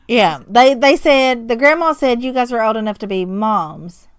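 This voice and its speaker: A woman in her forties co-hosting a podcast, chatting casually in an unhurried, agreeable way. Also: fairly high pitch, expressive, clear, resonant tone, dry recording, studio quality. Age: 40s. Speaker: podcast co-host. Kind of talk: casual chat.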